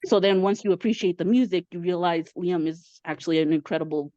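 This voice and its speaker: Mexican woman, 30s, chatty and excited, lots of um and uh, muffled dry zoom audio. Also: lowish voice, fairly quick, dry recording. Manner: eager, chatty, many fillers. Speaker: caller. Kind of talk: casual chat. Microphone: video call.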